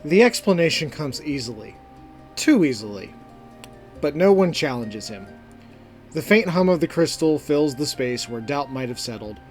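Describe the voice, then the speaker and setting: A man narrating a scripted fantasy story in a measured, deliberate, dramatic manner. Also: fairly high pitch, expressive, a little mellow. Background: background music.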